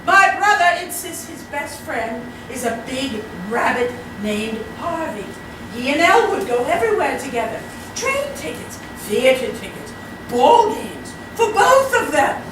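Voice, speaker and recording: Echoey, high-pitched flustered society mother played by an actress. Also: sing-song, a little slow. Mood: exasperated. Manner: fretful, emphatic, haughty complaining. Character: flustered, indignant middle-aged society mother, exasperated by her brother. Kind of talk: comic stage play performed live. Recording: echoey, very noisy hall from far off.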